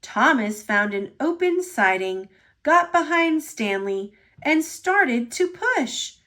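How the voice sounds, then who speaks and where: A high-voiced woman narrates a children's picture book. She takes her time over each phrase and swings her pitch expressively. The delivery is dramatic and shaped for small children. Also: very animated, sharp tone. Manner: dramatic, deliberate phrasing for young listeners. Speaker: storybook reader.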